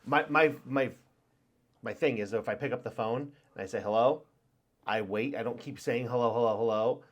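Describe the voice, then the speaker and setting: A man's voice: mid-range voice, normal speed, highs cut off. Rambling male podcast co-host. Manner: rambling, acts out phone calls in quoted voices. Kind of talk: casual chat.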